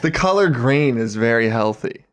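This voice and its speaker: Male co-host, middle-pitched, for off-the-cuff joking podcast chat in loose, sweary slang. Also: animated, moderate pace. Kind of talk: casual comedic chat.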